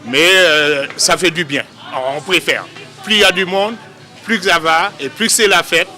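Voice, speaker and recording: A man's voice: fairly high pitch, unhurried, pushed and bright, soft background music. A man working in a shop, talking casually in an on-the-spot interview.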